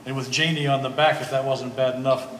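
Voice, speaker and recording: A man's voice: mid-range voice, fairly even intonation, fairly bright tone, far from the mic, lightly acted. Guy playing awkward nice family friend in a play, hesitant, roomy echo, faint noise. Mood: apologetic. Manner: hesitant, halting. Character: apologetic, friendly Swedish-American male family acquaintance. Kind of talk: amateur staged play reading. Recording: echoey hall, faint background noise.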